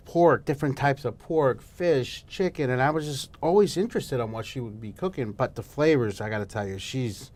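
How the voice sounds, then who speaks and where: A man's voice: medium pitch, normal speed, few pauses, darkish tone. A middle-aged man from El Salvador, a guest chef talking casually in an interview, chatty and prone to restarting his sentences. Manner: chatty, frequent restarts and fillers.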